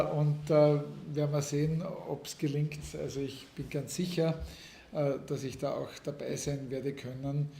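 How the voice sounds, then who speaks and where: Slow, halting man in echoey room. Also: fairly high pitch, warm tone. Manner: hesitant, many fillers, slow and measured. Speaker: city official hosting a press conference. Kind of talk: formal press conference welcome.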